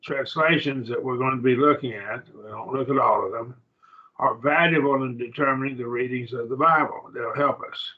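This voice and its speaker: A man teaching a college-level Bible study class in a plain, halting lecture with restarts and asides. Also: mid-range voice, phone-quality sound.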